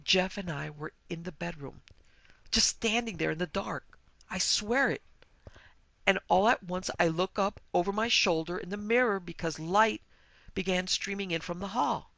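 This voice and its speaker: Guy doing a weepy outraged wife from a story, pitched up, all worked up and tense. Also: moderate pace, creaky, pushed and bright, no room sound, exaggerated voice. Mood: shaken outrage. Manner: weeping, breathless, agitated. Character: sobbing, indignant married woman, tipsy party guest.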